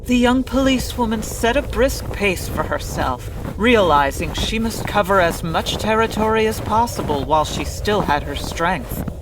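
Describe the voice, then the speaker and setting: A woman's voice: mid-range voice, animated, normal speed, few pauses, noisy background, close to an everyday voice. A woman playing a grave, slyly teasing narrator of a frontier adventure in an old-time radio comedy. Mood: grave, dramatic. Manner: grave, theatrical, sly.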